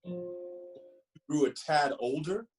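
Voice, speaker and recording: A man reading a children's picture book aloud expressively, with gentle emphasis and friendly asides to the listener. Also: fairly high pitch, very slow, faint music behind. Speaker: storybook reader.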